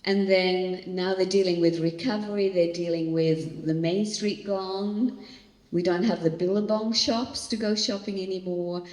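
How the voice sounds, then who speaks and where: An older woman pastor from Australia giving an animated, storytelling church talk on coping with stress. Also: on the low side, unhurried. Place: large hall. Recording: echoey hall, long reverb.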